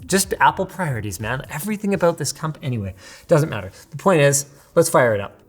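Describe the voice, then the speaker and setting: A man hosting a casual product unboxing, excitable and sarcastic, with a Canadian accent. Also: fairly high pitch, a little fast. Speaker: tech video host.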